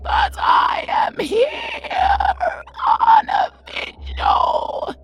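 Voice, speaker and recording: A woman voicing a wailing banshee, a menacing harbinger of death with theatrical, drawn-out delivery in a fantasy audio drama. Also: very high-pitched, huge pitch swings, creaky, shouting, over music, heavily acted. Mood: gloating menace. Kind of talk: fantasy audio roleplay acting.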